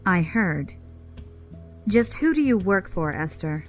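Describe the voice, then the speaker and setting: A woman's voice: fairly low pitch, sing-song, slow pace, faint music behind. Terse, commanding female space pirate leader. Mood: guarded, commanding. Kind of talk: audio drama acting.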